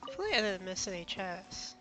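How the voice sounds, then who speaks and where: A woman's voice: mid-range voice, very animated, quick pace, noisy background. A woman streaming a game, giving casual, slangy live commentary. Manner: informal, slangy.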